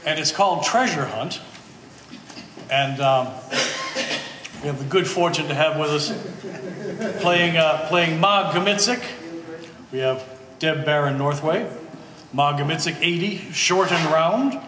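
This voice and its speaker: A man giving an informal, halting, jovial introduction of a cast as the playwright. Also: fairly high pitch. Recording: echoey hall, faint background noise.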